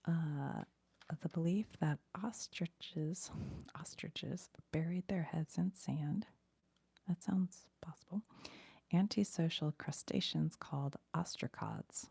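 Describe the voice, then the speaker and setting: A woman speaks in a very breathy whisper that crackles with heavy vocal fry. She reads quiz material for ASMR and drops in casual, murmured asides. The sound is close and dry. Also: sits low, slow, no echo. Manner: hushed, musing aloud. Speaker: ASMR streamer reading trivia questions and viewer comments.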